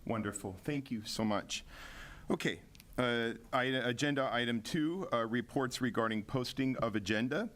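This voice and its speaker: A man's voice: mid-range voice, normal speed, fairly bright tone. A man chairing a city commission meeting, reading agenda items aloud with frequent filler words.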